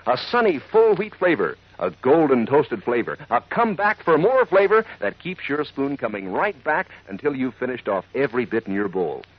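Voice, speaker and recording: A man announcing as a chummy, enthusiastic sponsor pitchman, in folksy 1940s children's radio advertising style. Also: very animated, normal speed, few pauses, dry recording. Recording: dull old radio recording.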